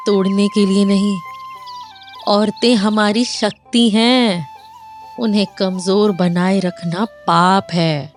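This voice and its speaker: A woman voicing a wise old village grandmother in a cartoon, measured and quietly firm as she counsels. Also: medium pitch, close to an everyday voice.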